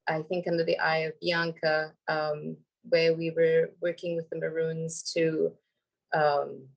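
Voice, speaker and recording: A woman, hoarse from a cold, speaking on a video call as a panel speaker, thoughtful with many ums and restarts. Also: low-pitched, level tone, measured pace, rough recording. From Trinidad and Tobago. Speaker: panel speaker, environmental lawyer. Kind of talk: casual panel talk.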